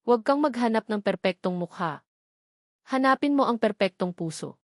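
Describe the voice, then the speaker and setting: A woman's voice: on the high side, animated, moderate pace, dry recording, clean studio sound, natural voice. A woman voicing a loving mother's farewell letter to her son, tender and earnest.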